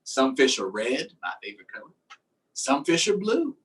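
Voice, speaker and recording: A man's voice: medium pitch, wildly swooping pitch, moderate pace, far from the mic, a little hiss or hum. A man hosting a storytime, reading aloud and chatting simply and encouragingly with young children. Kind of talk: read-aloud and casual chat to young children.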